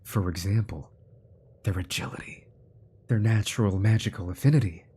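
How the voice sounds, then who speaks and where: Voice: low-pitched, normal speed, warm tone, breathy edge. An actor playing a menacing, centuries-old sorcerer-scientist in a fantasy audio drama, with a smug, theatrical delivery that slips into whispers. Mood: smug, grandiose.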